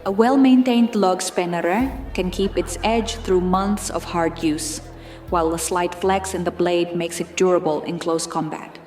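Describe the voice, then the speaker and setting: A woman narrator giving a measured, formal scripted reading of fantasy lore, in documentary style. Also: on the low side, steady tone, a little mellow, roomy sound, soft background music.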